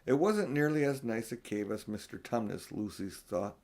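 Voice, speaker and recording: A man's voice: medium pitch, lively intonation, moderate pace, a little mellow. Older man reading a storybook aloud. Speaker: audiobook reader. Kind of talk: expressive storybook narration.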